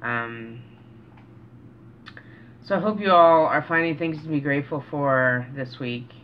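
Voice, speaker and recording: Slow, halting middle-aged man vlogging. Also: on the high side. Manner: earnest, halting, pausing mid-sentence. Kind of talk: casual, reflective vlog talk. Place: small cluttered room indoors. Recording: dull, noisy home recording.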